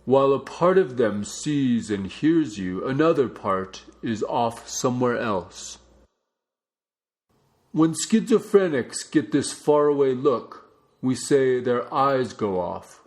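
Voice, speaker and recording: A man reading a non-fiction book aloud as a narrator, with measured, deliberate phrasing. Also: mid-range voice, expressive, a little mellow. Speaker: audiobook reader.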